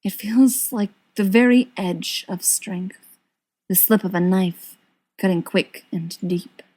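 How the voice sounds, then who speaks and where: A woman's voice: medium pitch, slow pace, darkish tone, husky. A woman reading a scripted fiction story aloud as an audiobook narrator. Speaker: audiobook reader.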